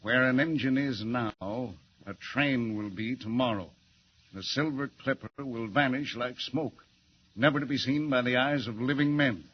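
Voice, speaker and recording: An actor playing a blustering, incredulous railroad superintendent in exclamatory 1940s radio style. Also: male voice, medium pitch, unhurried, slightly rough. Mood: grave, reading. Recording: dull, slightly noisy 1940s radio recording.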